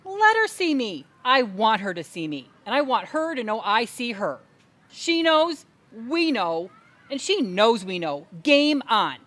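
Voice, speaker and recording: A woman's voice: on the high side, sing-song, unhurried, a bit bright. A woman playing an indignant, crusading adult sister in a comedic audio play, speaking in a dramatic, emphatic, speechifying way. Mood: defiant. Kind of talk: comedic audio theatre acting.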